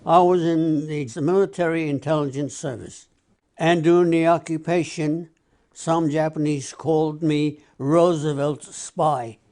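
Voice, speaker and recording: A man in his nineties from the United States, recalling the past in a slow, measured documentary interview. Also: on the high side, steady tone, a little mellow. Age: 90s.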